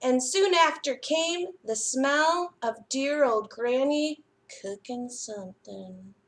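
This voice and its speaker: A woman hosting a children's story time, reading and explaining crafts in an expressive, sing-song manner for young listeners. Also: sits high, takes it slowly. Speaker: library story time host. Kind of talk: children's picture book reading and craft demonstration.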